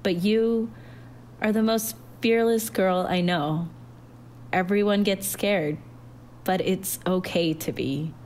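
A loving storybook mother, played by a woman narrator, tender and reassuring. She takes her time over each phrase and encourages softly. There is faint noise in the recording. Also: medium pitch, slow, close to an everyday voice. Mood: tender, encouraging. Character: gentle, loving, reassuring mother of a growing girl.

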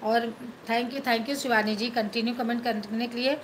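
A woman's voice: fairly high pitch, steady tone, measured pace, slight background noise, low-quality recording. A middle-aged woman from Madhya Pradesh hosts a casual live stream from a small indoor room. She chats on her phone, answers viewers' comments and thanks them again and again, mixing English words into her talk. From Madhya Pradesh, India. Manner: chatty, thanks viewers over and over, mixes English into Hindi. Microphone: phone held at arm's length.

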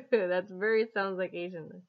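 A woman in her twenties chatting casually and giggly as she demonstrates an app in a vlog. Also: mid-range voice. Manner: giggly, amused. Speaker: app reviewer. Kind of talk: casual vlog chat to camera. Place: small home living room, indoors. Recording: dull lo-fi webcam sound.

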